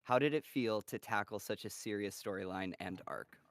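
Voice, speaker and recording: A man's voice: lowish voice, normal speed, a bit bright, dry recording, studio quality. A man hosting a casual livestream Q&A who laughs often and chats encouragingly. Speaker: host of the cast Q&A.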